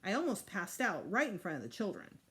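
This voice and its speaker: A woman's voice: mid-range voice, very animated, a little fast, fairly bright tone. Prim, exasperated storybook schoolmistress, acted by a woman reader, emphatic and formal, swooping intonation. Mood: indignant. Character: exasperated, prim female kindergarten teacher.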